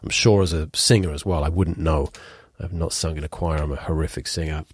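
A man's voice: extremely low pitch, steady tone, brisk, slightly rough, no room sound, studio quality. A man in his thirties with a stuffed-up cold, chatting casually about chord voicings in a rambling music lesson, piano playing in the mix. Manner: rambling, restarts and fillers, occasional hummed notes. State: congested with a cold. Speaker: musician teaching composition.

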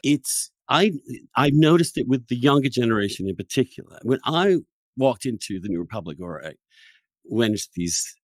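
A man's voice: mid-range voice, animated, moderate pace, dry recording. A man hosting a podcast, giving opinionated conversational commentary and often repeating and restarting his phrases. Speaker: podcast host.

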